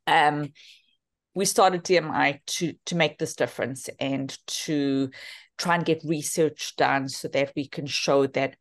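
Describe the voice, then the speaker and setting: A woman's voice: sits low, steady tone, a little slow. A woman in her fifties with a South African accent, speaking earnestly as a therapist introducing her work in a webinar. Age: 50s. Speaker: physiotherapist and therapy program co-founder. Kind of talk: webinar talk, self-introduction.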